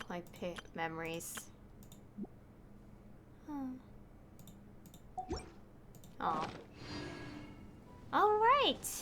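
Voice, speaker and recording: A woman streams a game and chats freely, easily delighted and laughing often. Her voice sits a little high and swings widely in pitch. A faint hiss runs underneath. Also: sharp tone. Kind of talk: casual gameplay commentary.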